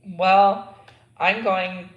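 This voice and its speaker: Man reading a caring, earnest mother, a bit high-pitched, echoey room. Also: steady tone, drawn-out words, lo-fi sound. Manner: plainly read dialogue with small slips. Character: caring mother, middle-aged woman, earnest.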